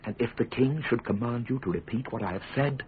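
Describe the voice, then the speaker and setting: An actor playing a wry, principled middle-aged English statesman, measured, dry and lawyerly, in a radio drama. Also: mid-range voice, breathy edge. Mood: earnest. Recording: phone-like lo-fi radio recording, faint noise.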